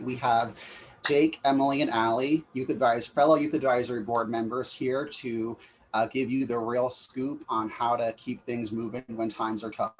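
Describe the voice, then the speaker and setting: A man's voice: mid-range voice, a little hiss or hum, low-quality recording. A young man hosting a panel chat, upbeat and encouraging as he introduces speakers and asks questions. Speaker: host.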